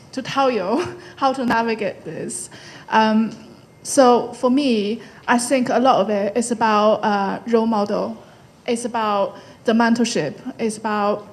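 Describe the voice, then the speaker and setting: A woman from China, answering spontaneously as a panel guest and speaking thoughtfully in short phrases with frequent pauses. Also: fairly high pitch. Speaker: panel guest, tech founder.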